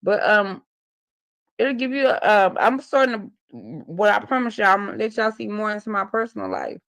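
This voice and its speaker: A woman's voice: mid-range voice, sing-song, moderate pace, fairly bright tone. A woman in her forties hosting a casual livestream, telling rambling, profane stories to her viewers. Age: 40s. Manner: loose, profane, rambling storytelling. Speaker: livestream host. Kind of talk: casual live chat.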